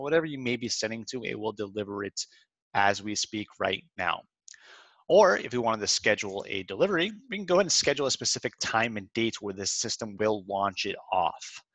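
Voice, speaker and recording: Man narrating a software tutorial, dry sound. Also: on the low side, dry recording. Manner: informal, instructional, step-by-step. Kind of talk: walkthrough narration of a screen demo, semi-scripted. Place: close dry voice-over for a screen recording.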